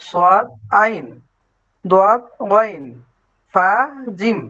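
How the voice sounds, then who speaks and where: Halting student reciting over a lo-fi line. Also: mid-range voice, bright, forceful tone. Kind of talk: reciting letters in class.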